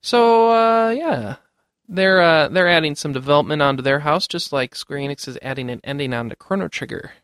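A man with a fairly high voice hosts casual gaming news in a chatty, informal way. His delivery is jokey, and the sound is close and dry with no room around it. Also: animated, normal speed, fairly bright tone, clean tone, no echo. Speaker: podcast host.

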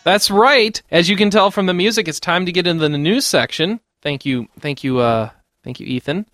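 A man with a fairly high voice hosts casual gaming news in a chatty, informal way. His delivery is jokey, and the sound is close and dry with no room around it. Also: normal speed, a bit bright, dry recording, faint music behind. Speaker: podcast host.

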